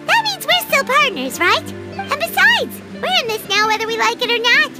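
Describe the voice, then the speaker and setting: Tiny game fairy sidekick, extremely high squeaky childlike voice, swooping and chatty, music behind. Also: female voice, normal speed. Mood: eager, plucky. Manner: childlike, expressive, speaks of self in third person. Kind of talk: video game cutscene voice acting.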